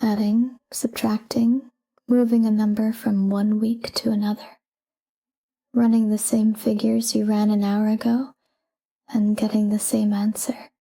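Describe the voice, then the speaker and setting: A woman leads a sleep talk-down in a very dark, mellow voice. She speaks softly and breathily, close to a whisper, and keeps an even, calm delivery. Her short phrases are unhurried, with space left between them. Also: mid-range voice, slightly rough, rough recording. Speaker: sleep meditation guide. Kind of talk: soft guided sleep meditation, whispered talk-down.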